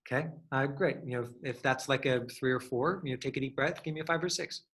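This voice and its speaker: A man's voice: medium pitch, fast talker, a bit bright. A man coaching an acting class, giving instructions in an encouraging way. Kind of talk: hands-on acting lesson.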